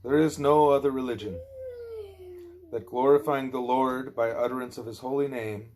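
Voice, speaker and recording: A man reading a spiritual discourse aloud as an audiobook narrator, with deliberate, measured phrasing. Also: medium pitch, fairly even intonation, darkish tone. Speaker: audiobook reader.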